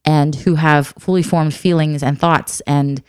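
A woman in her thirties with a low, smooth voice speaks earnestly and reflectively. Her tone is soft-spoken and candid. The sound is dry and close. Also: steady tone, a little slow, no echo. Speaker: adoptive mother and guest. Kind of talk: candid conversational chat.

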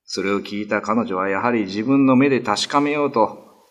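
A man's voice: fairly low pitch, moderate pace, highs cut off. A man narrating an old ghost story in a scripted, dramatic radio reading style.

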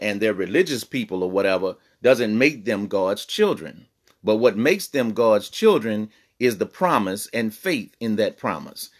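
A man teaching a Bible lesson on a podcast, earnest, with many fillers and restarts. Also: fairly low pitch. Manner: reads scripture in slow old-fashioned cadence.

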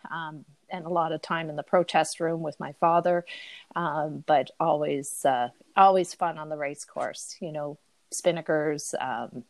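Woman, middle-aged, interview guest, low voice, dry and close, loose chatty delivery. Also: steady tone, measured pace, dry recording. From Cape Breton, Canada. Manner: rambling, many fillers and restarts. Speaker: podcast guest. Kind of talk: casual interview talk.

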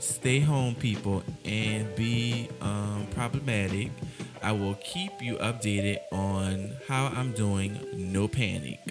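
A man's voice: on the low side, takes it slowly, music in the background. A man co-hosting a casual gossip podcast, reading celebrity news aloud with laughing asides. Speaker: podcast co-host.

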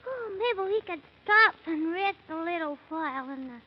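A woman's voice: extremely high pitch, animated, moderate pace. A woman playing a plucky, cheerful six-year-old boy who talks in sing-song rhymes in a 1930s radio serial. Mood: cheerful, tiring. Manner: sing-song rhyming delivery. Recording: muffled, noisy 1930s radio recording.

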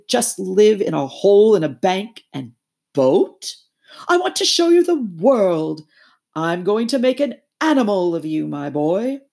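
A grand, pushy toad gentleman gushes in a raised, breathless rush, as a woman reading a storybook puts him on. He brags and pleads by turns, with a husky, gravelly edge under the lift. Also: medium pitch, voice pushed up. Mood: wheedling. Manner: gushing, exclamatory, wheedling. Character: boastful excitable male toad, grand and pushy.

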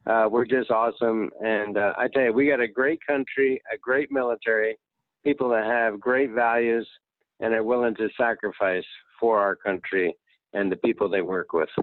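A man's voice: lowish voice, steady tone, normal speed, dry recording. Retired general telling his story by phone. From United States. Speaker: guest. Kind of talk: casual interview talk. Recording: tinny phone line, dry.

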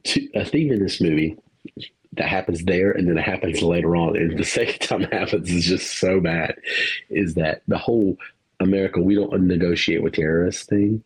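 A man's voice: low voice, normal speed, slightly rough, low-quality recording. A man co-hosting a podcast, rambling through a movie review in casual chat, laughing and swearing now and then. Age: adult parent. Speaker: podcast co-host.

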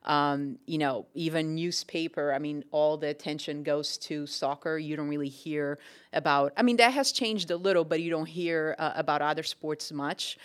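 A woman's voice: deep voice, normal speed, clear, resonant tone. A young adult woman from Portugal, a tennis coach answering interview questions thoughtfully, with many ums and restarts.

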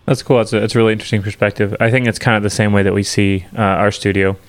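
A man co-hosting a podcast, asking questions in a slow, measured way. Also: fairly low pitch, level tone. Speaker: podcast co-host. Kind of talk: casual interview talk.

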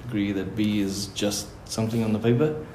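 A man's voice: on the low side, steady tone, brisk, warm tone. A man working as a teacher, casually interviewing a group of students with informal questions. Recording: roomy echo, faint background noise.

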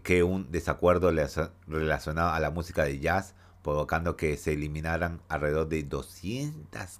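A man's voice: low-pitched, a bit bright. A man hosting a casual gaming news podcast, chatty and hesitant, with a head cold and a stuffy nose. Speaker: podcast host.

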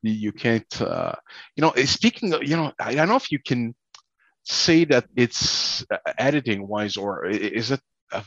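A man's voice: medium pitch, animated, a bit bright, no echo. A man co-hosting a casual film discussion, rambling and stammering as he works through his points. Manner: halting, stammering, rambling delivery. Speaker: podcast co-host. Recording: dull lo-fi audio.

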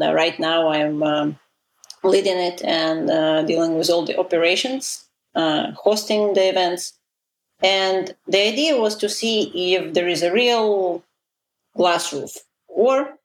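A woman's voice: on the low side, rough recording. A woman, a guest in an interview, talking casually with many fillers and restarts. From Israel. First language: Russian.

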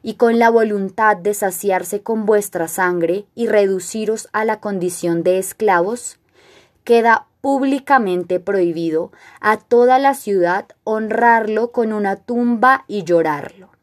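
Woman doing a proud stern king in an old greek tragedy, real slow n solemn, declaiming every line. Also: medium pitch, lightly acted. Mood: solemn, commanding. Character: stern, proud male king addressing elders. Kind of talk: classical tragedy monologue, recited.

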